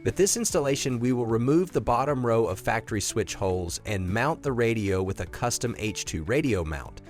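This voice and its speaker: Male instructional narrator over music. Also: medium pitch. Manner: clear, methodical step-by-step delivery. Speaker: installation video narrator.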